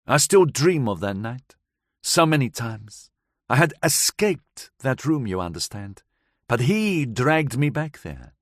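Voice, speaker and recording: A man's voice: mid-range voice, sing-song, slow, no echo, studio quality, pitched above the performer's own voice. A man voicing a hardened vengeful woman. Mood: bitter. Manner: foreign-tinged broken English, bitter and intense. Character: hardened vengeful woman recounting her past.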